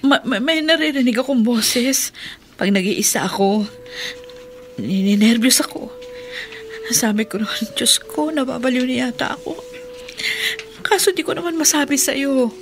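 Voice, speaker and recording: Troubled high-voiced Filipina wife. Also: female voice, expressive, a little hiss or hum. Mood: distressed confession. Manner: halting, earnest confessing. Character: troubled, secretive middle-aged wife and mother. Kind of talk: Filipino radio drama acting.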